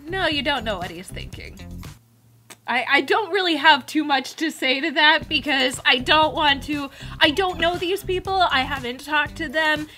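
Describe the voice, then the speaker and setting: A woman co-hosting a casual commentary show, explaining things in an expressive, conversational way. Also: sits high, normal speed, soft background music. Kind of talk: casual photo commentary chat.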